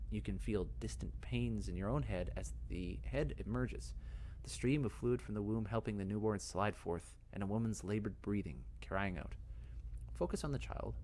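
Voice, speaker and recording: A man reading fantasy game text aloud as dramatic narration, in a measured, expressive storytelling manner. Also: lowish voice, a little hiss or hum, low-quality recording. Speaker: let's play host.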